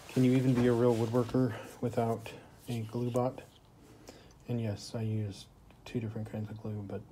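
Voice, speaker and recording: A young man filming his woodworking project on a handheld phone in his workshop, talking casually and rambling with lots of fillers. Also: fairly low pitch. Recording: noisy phone recording in a workshop.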